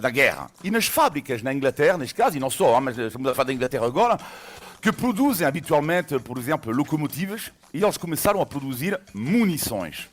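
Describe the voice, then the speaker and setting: A middle-aged man from France with a French accent, telling a story as a radio columnist in a lively, laughing way with hesitations. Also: mid-range voice. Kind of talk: morning radio segment, storytelling.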